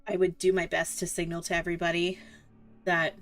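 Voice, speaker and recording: Female role-playing gamer, low register, casual and conversational, light music bed. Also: monotone, a little fast. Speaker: tabletop game player. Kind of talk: casual game-table chat.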